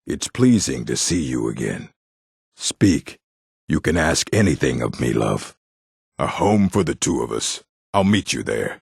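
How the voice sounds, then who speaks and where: A man voicing a gruff, proud orc warrior in a fantasy video game, with a gravelly, husky voice and a terse, blunt delivery. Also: extremely low pitch, sing-song, a little slow, a little mellow, dry recording, clean studio sound, heavily acted. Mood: calm, tender.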